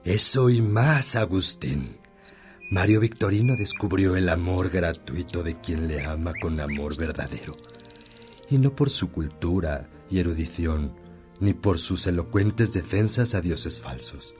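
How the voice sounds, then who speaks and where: An actor playing a wise, kindly old priest in a Spanish-language radio drama, telling stories in a measured, earnest way. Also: male voice, low-pitched, expressive, darkish tone, soft background music. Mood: reassuring, fatherly.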